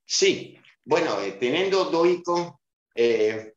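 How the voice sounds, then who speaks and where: A man's voice: a little high, animated, takes it slowly. A man in his fifties from Sicily, speaking with an Italian accent as an interview guest, hesitant and full of fillers and restarts, on a video call. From Sicily, Italy. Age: 50s. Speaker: interview guest, club director. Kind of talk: casual interview answers. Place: small room at home. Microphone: video call webcam. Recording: roomy, dull video call audio.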